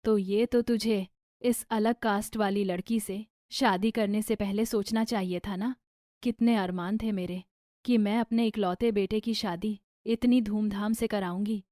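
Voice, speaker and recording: A woman's voice: medium pitch, steady tone, a little slow, dark tone, no room sound, studio quality, close to an everyday voice. A woman voicing a pious middle-aged Indian mother-in-law who scolds in dramatic, scandalized exclamations for a moral-story cartoon. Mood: bitter, reproachful. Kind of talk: animated moral-story dialogue.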